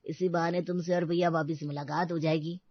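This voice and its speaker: Woman voicing a polite older uncle, low-pitched. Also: level tone, fairly quick, no echo, muffled recording. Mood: polite, hesitant. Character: polite, considerate elderly uncle-in-law, gently self-effacing.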